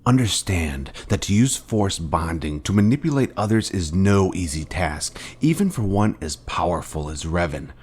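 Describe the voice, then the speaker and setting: A very deep male voice carries an actor's performance of a grave fallen-order Jedi mentor. He is solemn and deliberate, posing weighty rhetorical questions in the scripted style of a dramatic video game. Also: sing-song, darkish tone, slightly rough, heavily acted. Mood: solemn, accusing. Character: grave former Jedi Master confronting his fallen Padawan. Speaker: game character.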